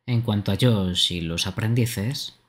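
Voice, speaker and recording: A man's voice: fairly low pitch, measured pace. A man streaming a video game, reading its text aloud and commenting on it. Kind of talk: live game dubbing and commentary. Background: game music.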